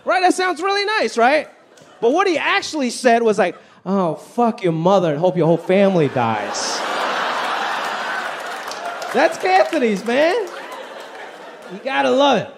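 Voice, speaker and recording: A man from Hong Kong doing stand-up on stage with a handheld mic, his voice pitched high and bouncing all over the place as he builds to punchlines. A faint audience murmur and a little background noise sit under the live recording. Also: unhurried, gravelly, long pauses. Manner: animated, pitch swinging high and low for punchlines. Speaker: stand-up comedian. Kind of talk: stand-up comedy set. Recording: live show with faint crowd and room noise.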